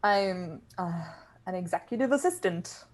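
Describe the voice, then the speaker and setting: A woman's voice: lowish voice, lively intonation, a little slow. An actress playing a shy, apologetic, self-doubting young woman, hesitant and flustered, in a conversational table read. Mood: hesitant, reluctant.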